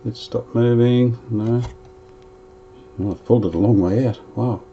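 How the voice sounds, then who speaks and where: A man's voice: medium pitch, fairly even intonation, unhurried, dull audio, a little hiss or hum. A man narrating as he restores an old radio, chatting casually and thinking aloud while he works.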